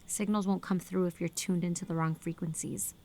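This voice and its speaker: A woman acting in an audio drama as a sharp, defiant military lieutenant with a dry, sardonic manner. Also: on the low side, steady tone, brisk, creaky, slight background noise. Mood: dry, pointed. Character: sharp, defiant female military lieutenant, operations radio officer.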